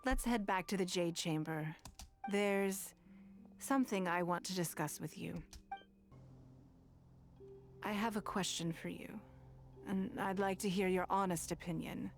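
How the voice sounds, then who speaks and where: An actress playing a poised, wealthy noblewoman leader in a video game, speaking in measured, formal phrases with private wistfulness. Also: fairly low pitch. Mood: composed, probing. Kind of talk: scripted video game dialogue acting. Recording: music behind the voice.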